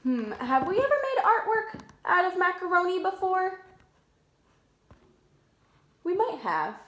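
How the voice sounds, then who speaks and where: A woman's voice: very high voice, sing-song, a little slow, fairly bright tone. A woman preschool teacher reading aloud to young children, talking simply and putting questions to them. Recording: echoey room.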